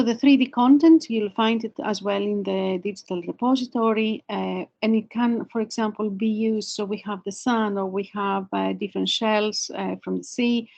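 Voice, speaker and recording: A woman's voice: medium pitch, creaky, a little mellow. A woman giving an informal online webinar presentation, explaining things as a presenter. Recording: dull lo-fi webinar audio.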